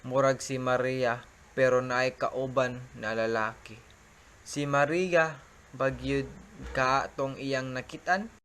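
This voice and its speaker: A man's voice: mid-range voice, fairly even intonation, slow, fairly bright tone, clear, resonant tone. A man narrating an amateur radio drama in a plain storytelling manner.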